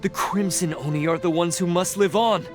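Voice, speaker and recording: A man's voice: sits high, steady tone, moderate pace, close to an everyday voice. An actor playing a proud, scornful male oni outcast who lectures coldly, in a video game. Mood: stern. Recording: game audio with loud music.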